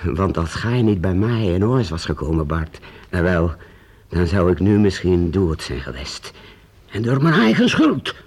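An actor playing a weary, earnest old master painter in a Dutch radio play, speaking haltingly with repeated words and archaic formal address. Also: male voice, low-pitched, big pitch swings, a little mellow, slightly rough, full character voice. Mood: confiding, rueful. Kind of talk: Dutch radio drama acting.

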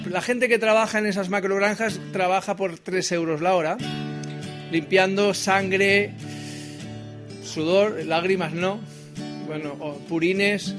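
Casting: male singer-songwriter comic, Spain Spanish accent, high-pitched voice, rambling self-mocking stage patter with guitar behind. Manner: rambling, self-deprecating, asks the audience tag questions. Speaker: singer-songwriter and comedian performing live. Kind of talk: comic stage banter between songs. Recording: live performance with acoustic guitar. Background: acoustic guitar.

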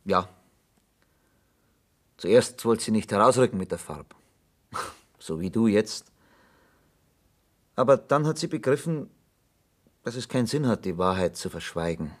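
Investigator, radio drama: patient, probing, low-pitched, measured but insistent questioning. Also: male voice, animated. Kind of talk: German radio play acting.

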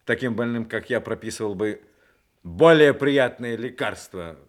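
An actor playing a stubborn, jovial middle-aged army general who is ailing, in a Soviet radio play with a hearty, familiar manner. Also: male voice, mid-range voice, expressive, unhurried, close to an everyday voice. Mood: jovial, grumbling.

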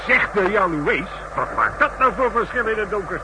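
Cocky joker on a train, swoopy delivery, old noisy radio drama with rumble behind. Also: male voice, lively intonation, moderate pace. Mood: jovial, boisterous. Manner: loud, teasing, boisterous storytelling. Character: brash, jokey travelling salesman among train regulars. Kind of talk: 1960s radio drama acting.

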